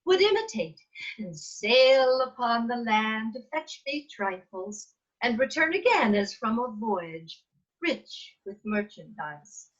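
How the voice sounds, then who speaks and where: A woman playing a proud, defiant fairy queen in a measured, theatrical reading of Shakespearean verse over a video call. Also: a little high, very animated. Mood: wistful, resolute. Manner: theatrical, measured, emphatic delivery. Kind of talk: Shakespearean verse table read. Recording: dull, lo-fi video call audio.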